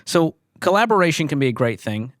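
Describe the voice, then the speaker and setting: A man's voice: on the high side, very animated, fairly quick, dry recording, studio quality. A man speaking as a podcast host, interviewing in a conversational style.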